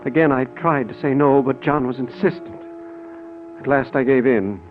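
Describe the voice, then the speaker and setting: An actor playing a fearful, weak-willed brother who recounts a crime, hesitant and solemn, in old-time radio drama style. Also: male voice, mid-range voice, moderate pace, loud music behind the voice, natural voice. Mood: grave, suspenseful. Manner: hesitant, anxious, solemn in narration. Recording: tinny old radio recording.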